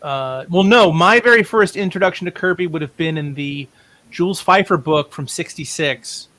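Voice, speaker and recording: A man's voice: fairly high pitch, normal speed, sharp tone, no echo. A middle-aged man, a writer being interviewed, telling stories casually in an informed, discursive, self-correcting way. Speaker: writer and playwright guest.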